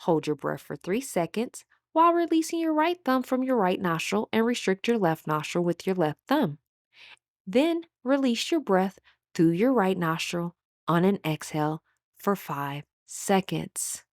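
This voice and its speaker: A woman leading a scripted guided meditation as an instructor, with a measured, soothing, instructional delivery. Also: mid-range voice, lively intonation, dry recording, clean studio sound.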